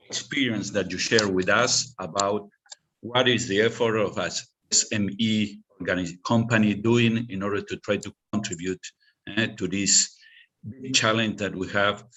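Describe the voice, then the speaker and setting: A man from Argentina, a Spanish speaker moderating an online webinar in halting English with fillers. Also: on the low side, fairly bright tone, low-quality recording.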